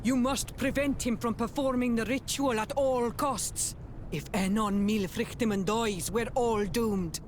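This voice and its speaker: An embattled, fierce island warrior in a video game. The voice is high for a man, urgent and resolute, with a faint rumble behind. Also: steady tone, measured pace. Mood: urgent defiance.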